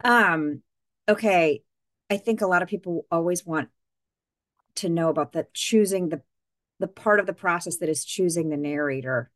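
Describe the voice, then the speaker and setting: A woman hosting a podcast interview, chatty and quick with asides, often correcting herself mid-sentence. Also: on the low side, no echo, studio quality. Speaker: podcast host. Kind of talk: casual interview chat.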